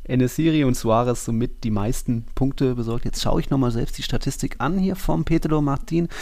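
A man's voice: mid-range voice, a little fast, dark tone. A man co-hosting a football podcast, chatting in a casual, laid-back, colloquial way.

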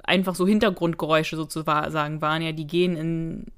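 A woman's voice: sits low, steady tone, a little fast, smooth. A woman co-hosting a podcast, chatting casually in a matter-of-fact way. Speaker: podcast co-host.

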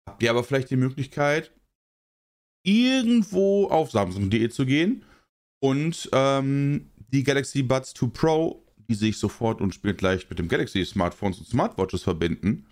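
A man, a podcast co-host with a cold, reading a sponsor spot in a jokey, casual way with big playful swings in pitch. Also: darkish tone. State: has a cold.

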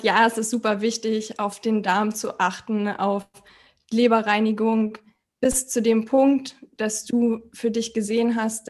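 A woman in her thirties from Berlin, a podcast guest who talks hesitantly and searches for words. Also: fairly high pitch. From Berlin, Germany. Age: 30s. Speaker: podcast guest asking questions. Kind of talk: casual podcast conversation.

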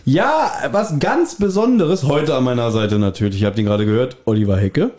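A man's voice: medium pitch, animated, moderate pace, a little mellow. A man hosting a podcast in a casual, chatty, bantering style. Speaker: podcast host. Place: guest's apartment, indoors.

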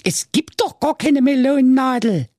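A man's voice: extremely high pitch, lively intonation, normal speed, darkish tone, dry recording, studio quality, pitched above the performer's own voice. A man voicing cheeky, whining little goat kids from a storybook, with a childlike questioning manner. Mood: mocking.

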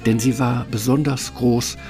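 A man's voice: medium pitch, fairly even intonation, unhurried, over music. A man narrating a fairytale radio play as a scripted storyteller.

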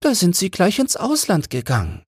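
A man's voice: high voice, wildly swooping pitch, normal speed, breathy edge, no room sound, clean studio sound, voice pushed up, heavily acted. A man voicing a cheeky, mocking little sparrow in a fairy-tale audiobook, with pert, gossipy chatter.

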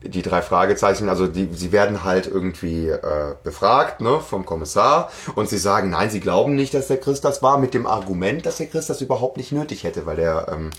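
A man's voice: deep voice, expressive, a little fast, few pauses, fairly bright tone. A man co-hosting a podcast, chatting casually and with strong opinions about an audio drama. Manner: opinionated, mimics quoted lines. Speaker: podcast host.

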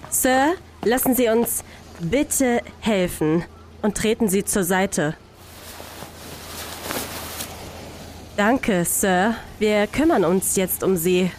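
A woman's voice: medium pitch, slow pace, darkish tone, slight background noise, close to an everyday voice. A woman playing a fierce, hot-tempered undercover agent in a German audio drama. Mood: brisk, official.

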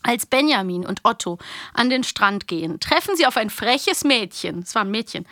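A woman's voice: a little high, lively intonation, moderate pace, pushed and bright, no echo, studio quality. A young woman co-hosting a casual podcast chat, enthusiastic and giggly, acting out characters as she retells scenes. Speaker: podcast co-host. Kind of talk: casual chat about a kids' audio drama.

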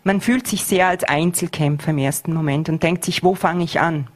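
A middle-aged woman, a school head answering interview questions earnestly, with frequent hesitations. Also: sits low. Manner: earnest, measured, with many hesitations.

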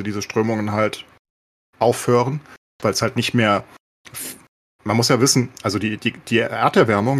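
A man with a fairly low voice talks very quickly. He explains things in a loose, rambling, colloquial way, as a podcast co-host chatting casually with English slang mixed in. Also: a bit bright.

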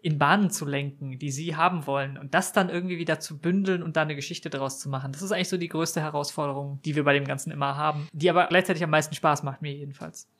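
A man's voice: a little high, fairly even intonation, fast talker, few pauses, fairly bright tone. A man chatting casually as a guest on a podcast.

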